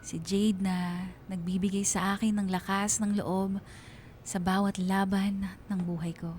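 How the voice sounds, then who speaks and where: A woman's voice: mid-range voice, flat delivery, a little slow, a little mellow, lightly acted. A woman playing a reflective, bereaved young woman narrating her own love story in a radio drama. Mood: sad, reflective. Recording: music behind the voice.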